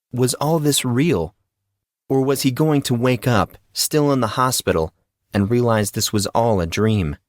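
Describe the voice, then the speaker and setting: A man narrating a fiction audiobook in a dramatic, deliberate scripted style. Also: mid-range voice, dry recording, studio quality.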